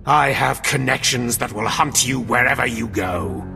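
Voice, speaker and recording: Gravelly, sneering Sith overseer. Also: male voice, mid-range voice, moderate pace, sharp tone, soft background music. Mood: cold menace. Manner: sneering, commanding. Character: stern, contemptuous male Sith overseer, stubborn and bitter. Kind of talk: video game voice acting.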